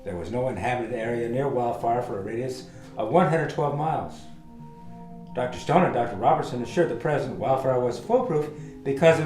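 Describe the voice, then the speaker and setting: A male narrator reads a scripted radio drama with a mid-pitched voice. The recording is roomy, with guitar music clearly playing behind him. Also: darkish tone.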